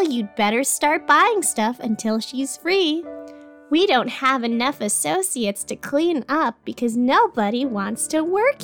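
A woman playing a cheerfully menacing announcer in a parody radio commercial, with deadpan, blunt sales patter. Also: high-pitched, heavily acted. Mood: cheerful menace. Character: cheerfully menacing female craft store advertisement announcer.

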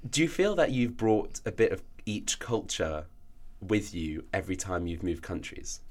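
Young woman, podcast host, low voice, bubbly, animated, casual chat. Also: moderate pace. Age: young adult. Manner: bubbly, animated, often repeats herself.

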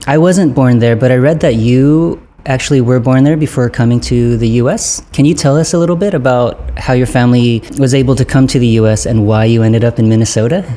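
A man's voice: medium pitch, brisk, hardly any pauses. A man in his thirties, born in Vietnam, hosting a casual interview podcast and laughing often. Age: 30s. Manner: friendly, laughs often, relaxed pace. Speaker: podcast host.

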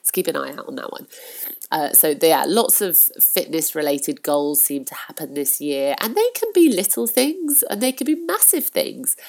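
Woman, low voice, congested nose, casual podcast host, encouraging, filler words. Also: very animated, moderate pace, creaky, darkish tone. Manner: chatty and encouraging, with frequent fillers. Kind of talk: casual solo podcast talk.

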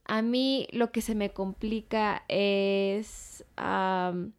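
A woman explaining things in casual conversation, pausing with hums as she searches for words. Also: medium pitch, fairly even intonation, smooth. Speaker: panelist, learner of Japanese.

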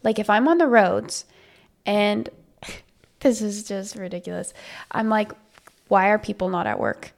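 A woman co-hosting a podcast in casual chat, a bit congested and nasal, self-deprecating and quick to laugh at herself. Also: medium pitch, expressive, gravelly. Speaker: podcast co-host. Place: home podcast setup.

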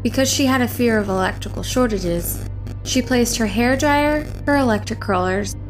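A woman's voice: on the high side, normal speed, poor audio. Woman narrating a story over music. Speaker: narrator reading a horror story. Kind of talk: scripted audiobook narration. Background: music under the voice.

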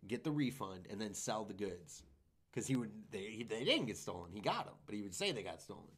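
Low-voiced young man, loose and profane. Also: very animated, fairly quick, fairly bright tone, breathy edge. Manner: loose, profane, rambling storyteller. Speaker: comedian podcast co-host. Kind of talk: casual chat.

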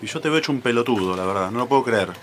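An actor with an Argentine accent playing a pushy, teasing bachelor friend in a naturalistic audio drama. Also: male voice, medium pitch, animated, rapid-fire, natural voice. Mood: insistent, teasing. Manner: insistent, teasing. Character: pushy, fun-seeking bachelor friend, a middle-aged man.

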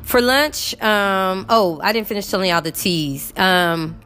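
A woman's voice: mid-range voice, a little slow, a bit bright, faint music behind. A woman in her fifties chatting casually to her viewers, rambling with lots of fillers while getting over a cough. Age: 50s. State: recovering from illness, cough. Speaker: vlogger.

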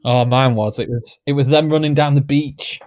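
A man's voice: medium pitch, steady tone, normal speed, a little mellow. Jokey man hosting a podcast. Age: 30s. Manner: jokey, laughs often, rambling. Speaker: podcast host. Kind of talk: casual chat. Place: home, recording remotely.

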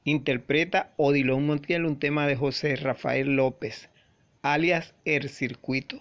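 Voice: medium pitch, fairly even intonation, a little slow, muffled recording. A radio host from Venezuela asks brief, pointed questions and signs off the episode formally. The voice sits in an ordinary middle range. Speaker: radio show host and interviewer. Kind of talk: interviewing, closing a radio episode.

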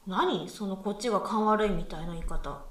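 A woman's voice: mid-range voice, moderate pace, close to an everyday voice. A woman playing a skeptical, exacting young female university student with dry retorts in a conversational radio drama. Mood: skeptical, exasperated. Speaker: voice actress in amateur radio drama. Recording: echoey room, far from mic.

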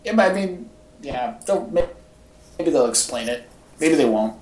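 A man's voice: fairly high pitch, expressive, measured pace, a little mellow. A man chatting casually as a podcast host, talking like an enthusiastic fan with plenty of casual slang. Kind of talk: casual pop-culture podcast chat. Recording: echoey, roomy home podcast audio.

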